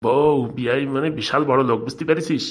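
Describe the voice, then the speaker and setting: Man voicing a rapid-talking, scheming father. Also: medium pitch, lightly acted. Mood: wheedling. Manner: wheedling, blustering, colloquial. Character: scheming, liquor-loving father of a grown son. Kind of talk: comic cartoon dialogue.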